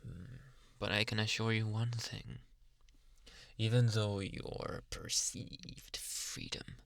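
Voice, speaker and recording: A man playing a possessive, softly menacing psychiatrist in an ASMR roleplay, coaxing in a hushed, breathy, deliberate voice. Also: dry recording, heavily acted. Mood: smug, reassuring. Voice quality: breathy, gravelly low voice.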